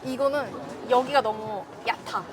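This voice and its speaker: A woman's voice: fairly high pitch, steady tone, a little slow. A woman chatting casually with her partner in an everyday conversational way. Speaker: vlogger's wife. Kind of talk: casual couple chat. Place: outlet shoe store. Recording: background music and store crowd noise. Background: music, shoppers' chatter.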